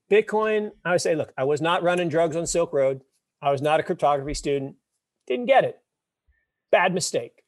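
A man in his fifties, a podcast guest, telling wry stories and explaining ideas with emphatic, animated delivery. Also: on the high side, no echo, studio quality. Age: 50s. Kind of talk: casual interview talk.

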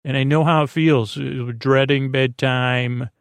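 Man, creaky voice, rambling bedtime monologue, halting, repetitive, trailing sentences, dry sound. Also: medium pitch, steady tone, dry recording. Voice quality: creaky, gravelly. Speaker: podcast host.

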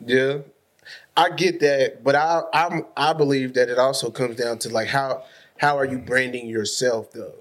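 A man's voice: mid-range voice, fairly even intonation, a little slow. A man co-hosting a casual podcast chat, ribbing his partner and reasoning through a point with repeated questions. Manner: teasing, then laying out points as a string of questions. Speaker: podcast co-host.

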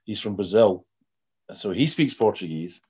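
A man chatting casually in a hesitant, halting way. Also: on the low side, animated, darkish tone, breathy edge. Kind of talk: casual chat. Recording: dull, muffled lo-fi sound.

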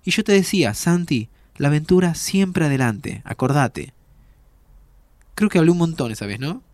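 A man's voice: a little high, lively intonation, moderate pace, darkish tone, no echo, lightly acted. A man playing a playful, frank, caring 25-year-old time traveller in a naturalistic audio drama, with an Argentine accent and a teasing, informal way of talking. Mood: warm reminiscing.

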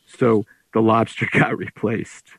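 Male author in conversation: lowish husky voice, anecdotal and explanatory, dry close sound. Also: fairly even intonation, takes it slowly, fairly bright tone, dry recording, poor audio. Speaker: author discussing his book. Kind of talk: conversational interview talk.

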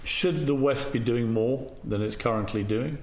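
A man's voice: mid-range voice, moderate pace, a little mellow. A man hosting an interview, asking probing questions in a conversational way. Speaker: interview host. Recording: roomy echo.

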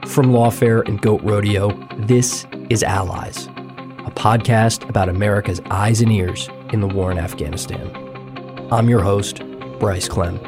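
Male podcast host, lower voice, measured scripted narration. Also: over music. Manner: measured, even documentary narration.

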